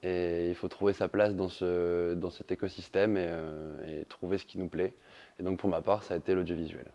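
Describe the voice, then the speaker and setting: A man's voice: deep voice, level tone, normal speed, dark tone, highs cut off. A man speaking as a sales manager in an interview, explaining his work and often repeating words as he searches for phrasing. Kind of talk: recruitment interview testimonial.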